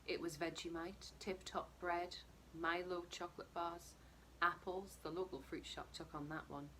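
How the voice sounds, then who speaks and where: Low-voiced woman reading fiction, noisy background. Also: little pitch movement, a little slow, bright, forceful tone. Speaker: story reader. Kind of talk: narrated short fiction reading.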